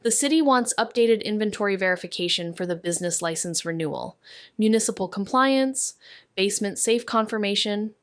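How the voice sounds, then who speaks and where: A woman's voice: medium pitch, lively intonation, a little fast, clear, resonant tone, no echo, natural voice. An actress playing a wry, guarded young woman, a former med student, in a naturalistic audio drama with dry, understated delivery. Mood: dry, practical.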